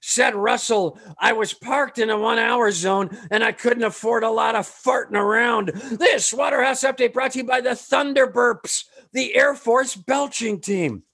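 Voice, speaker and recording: A man hosting a podcast, reading a comic sports news update in punchy, deadpan bursts with sudden shouts. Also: very high-pitched, measured pace, vocal fry, hardly any pauses. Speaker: podcast host.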